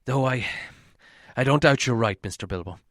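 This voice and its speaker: A man's voice: mid-range voice, normal speed, a bit bright, no echo, studio quality, lightly acted. A man voicing a gloomy, cautious old male dwarf in a storybook reading. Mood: gloomy, wary.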